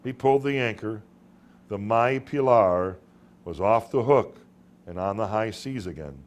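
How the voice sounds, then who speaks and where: A man narrating literary short stories as their author, in a dramatic audiobook style with deliberate phrasing and emphatic pauses. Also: fairly low pitch, animated.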